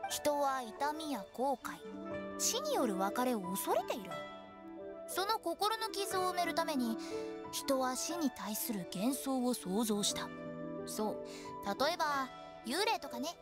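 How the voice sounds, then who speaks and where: High, lively, playful girl. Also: loud music behind the voice, exaggerated voice. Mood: calm, explanatory. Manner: theatrical, teasing, switching to measured solemn explanation. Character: playful, eccentric teenage girl funeral parlor director. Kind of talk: anime game voice acting.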